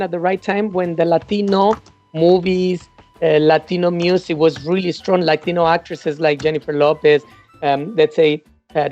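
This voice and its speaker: A woman interviewed as a salsa dancer, telling stories animatedly and acting out old conversations. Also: on the low side. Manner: animated, acts out past dialogue and counts. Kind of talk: casual storytelling in an interview. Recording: dull lo-fi sound with faint music.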